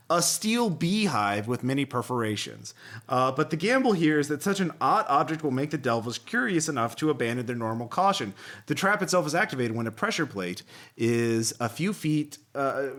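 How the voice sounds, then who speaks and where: A man's voice: medium pitch, fairly bright tone. A man hosts a casual hobby podcast in an ordinary mid-range voice. He is easygoing and conversational, moving between reading game text aloud and commenting on it, often with a chuckle. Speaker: podcast host.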